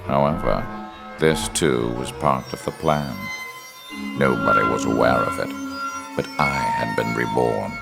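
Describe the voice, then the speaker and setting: A man playing a cold, superhuman video game villain, narrating in a measured, self-important, menacing way with a gravelly voice. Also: steady tone, over loud music, full character voice. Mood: cold, gloating. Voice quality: very deep, gravelly. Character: cold, superhuman male villain, gloating over rebirth. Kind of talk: scripted cutscene narration.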